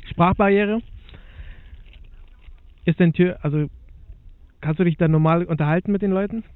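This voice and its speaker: A man's voice: a little high, no room sound. A man hosting a casual interview, rambling and restarting his questions. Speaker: host. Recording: tinny dry phone-like sound.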